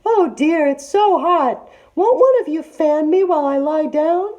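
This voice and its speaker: Woman acting out sly storybook tiger disguised as an old auntie: very high falsetto, cloying and coaxing, stretched words. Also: lively intonation, unhurried, a little mellow, dull audio. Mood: feigned weariness. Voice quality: high-pitched, affected falsetto. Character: male tiger posing as a sugary old auntie. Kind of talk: storybook read-aloud character voice.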